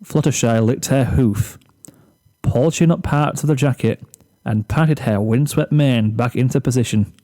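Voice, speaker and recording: A man's voice: medium pitch, dark tone. A man reading a comic story aloud as narrator, in scripted narration.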